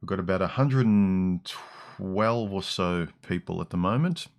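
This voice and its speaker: Pria bersuara berat, siaran langsung santai. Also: male voice, unhurried. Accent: Australian. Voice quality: deep voice with some harshness in the mids and lows. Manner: rambling, with frequent ums and restarts. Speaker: livestream host. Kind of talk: casual livestream chat reading comments. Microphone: dynamic broadcast mic.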